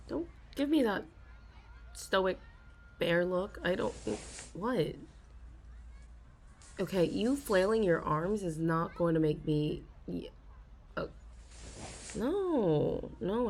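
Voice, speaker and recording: A young woman in an ASMR role-play, confiding softly to a listener, giggling often and halting with restarts. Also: mid-range voice, a little hiss or hum. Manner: soft, giggly, self-conscious, halting with many restarts.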